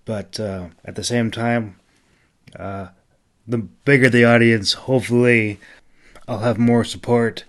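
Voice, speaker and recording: A man's voice: mid-range voice, steady tone, measured pace, pauses often. A man who narrates his own audiobooks, speaking informally as an independent storyteller at a microphone. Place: mostly quiet room at home. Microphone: solo home microphone.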